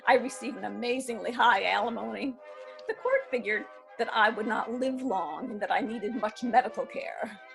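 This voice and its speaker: An actress reading the part of a defiant seventy-one-year-old divorcee who laughs at old age, in a readers theatre play. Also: female voice, on the high side, moderate pace, vocal fry, a bit bright, soft background music, poor audio. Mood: gleeful. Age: 70s. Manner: laughing, brash and amused. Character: spirited elderly divorcee, mischievous and defiant. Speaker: actor.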